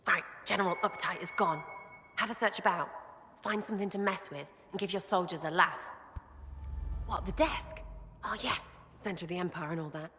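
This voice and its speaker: An actress voicing a cheeky, irreverent young prankster rebel in a video game, with a working-class London accent and flippant, slangy delivery. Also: female voice, on the low side, moderate pace, pushed and bright, in a large hall, a little hiss or hum. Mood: mischievous, playful. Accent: English, working-class London. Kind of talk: video game character acting.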